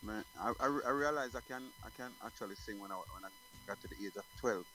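A middle-aged man from Jamaica chats quickly about his career, his voice swinging widely in pitch. He circles back and restarts often in a relaxed way. A faint hum sits under the recording. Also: poor audio. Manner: relaxed, rambling with many restarts. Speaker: guest singer. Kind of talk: casual interview.

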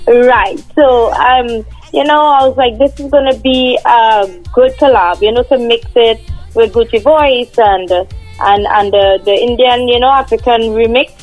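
A woman's voice: a little high, steady tone, unhurried, soft background music, low-quality recording. A woman from Trinidad, a singer guest, chatting in a casual interview and laughing often.

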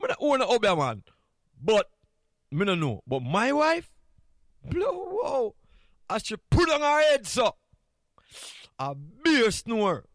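A man's voice: sits high, huge pitch swings, slow, long pauses, a bit bright, no room sound. Jamaican man hosting radio, close dry sound. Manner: playful, candid, repeats phrases for emphasis. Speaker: radio host. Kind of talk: casual morning radio chat.

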